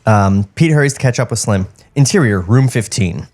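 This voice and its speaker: A man's voice: medium pitch, animated, normal speed, a little mellow, faint music behind. A man co-hosting a podcast, chatting casually and reading out stage directions from a script. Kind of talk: casual chat and script narration. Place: podcast studio.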